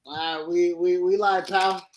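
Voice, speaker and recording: A man from New co-hosting a casual wrestling podcast, loud and slangy with hype-man energy. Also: high-pitched, flat delivery, unhurried, a little hiss or hum. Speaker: podcast co-host.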